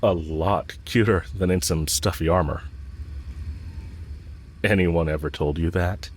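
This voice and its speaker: Man playing a low-voiced, smitten, teasing villain. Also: unhurried, a little hiss or hum. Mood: fond, flirtatious. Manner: casual, teasing, frank. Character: smitten male villain, cocky, teasing, bluntly confessing love. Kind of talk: romantic audio roleplay, spoken intimately to the listener.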